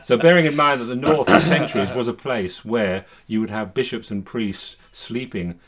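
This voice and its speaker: A man's voice: medium pitch, normal speed, a crowd in the background. A man hosting a podcast, talking in an erudite, explanatory way and sometimes reading out quotations. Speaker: podcast host. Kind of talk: discursive conversation with read-out historical quotes.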